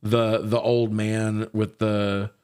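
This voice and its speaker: Lowish voiced guy cohosting a movie podcast, casual recap, sweary and animated, bit exasperated. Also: takes it slowly, clear, resonant tone. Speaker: podcast co-host reviewing movies. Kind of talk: casual profane comedic movie recap chat.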